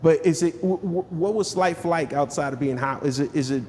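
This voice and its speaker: A chatty podcast host, a man with a fairly high voice who laughs easily and trips over his own sentences. The sound carries a long reverb tail and a faint hiss of ambient noise underneath. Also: moderate pace, a little mellow. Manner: chatty, laughs often, many restarts. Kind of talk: casual interview chat.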